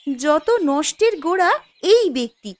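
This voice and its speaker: Woman acting a quarrelsome high-voiced young village wife. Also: very animated, unhurried. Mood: accusing. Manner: indignant, challenging, argumentative. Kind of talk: cartoon story dialogue. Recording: music behind the voice.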